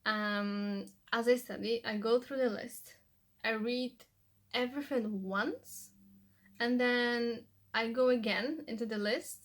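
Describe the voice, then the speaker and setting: A woman's voice: on the high side, slow. A young woman, a native Polish speaker, talks casually through her study tips in a loose, rambling way. She fills her talk with "like" and "you know.". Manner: chatty, loose, restarts often with "like" and "you know". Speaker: language-learning vlogger. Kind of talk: casual how-to vlog.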